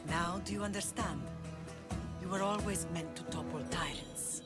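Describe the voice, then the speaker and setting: An actress playing a resolute Spartan mother in a video game, with a solemn, measured way of speaking. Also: fairly low pitch, close to an everyday voice. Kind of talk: dramatic video game acting. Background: prominent music.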